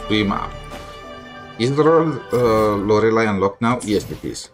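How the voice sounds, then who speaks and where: Man, lowish voice, casual game streamer, halting short reactions, video game music behind. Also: animated. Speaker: game livestreamer. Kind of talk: casual live gameplay commentary.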